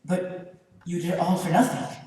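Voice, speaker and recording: A woman playing an anguished, lovestruck young man in a stage play, halting and emphatic. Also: on the low side, fairly even intonation, dark tone, lightly acted. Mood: confessing. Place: large indoor theater stage. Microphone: distant camera. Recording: echoey theater stage from far off.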